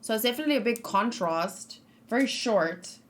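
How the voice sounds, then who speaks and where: A woman's voice: a little high, animated, moderate pace. A young woman chatting casually on a live stream, thinking aloud and reading out chat messages as she reacts to game trailers.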